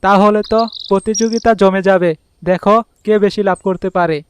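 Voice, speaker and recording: A village fish seller acted by a man gives friendly instruction in a cartoon. His voice is high for a man and very flat in melody, with faint bird and insect noise behind it. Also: fairly quick, close to an everyday voice. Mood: friendly, advising. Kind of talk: Bangla cartoon voice acting.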